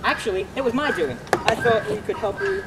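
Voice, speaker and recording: A woman playing an easygoing, loyal young male helper in a homeschool dramatic reading, earnest and gently teasing. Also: mid-range voice, lively intonation, a little fast, lightly acted. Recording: loud background noise.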